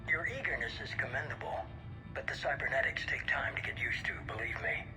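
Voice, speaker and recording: An actor playing a cold, measured, commanding leader of a shadowy organisation in a video game. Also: male voice, mid-range voice, natural voice, rough recording. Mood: measured, calculating. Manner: measured, authoritative, dismissive. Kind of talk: video game cutscene acting. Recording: music behind the voice.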